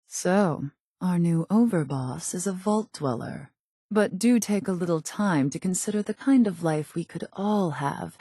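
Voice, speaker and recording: An actress voicing a cold, calculating raider gang leader in a video game, composed and formal, with measured, quietly menacing delivery. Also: female voice, low-pitched, no room sound, studio quality. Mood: cool, measured. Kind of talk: video game character voice acting.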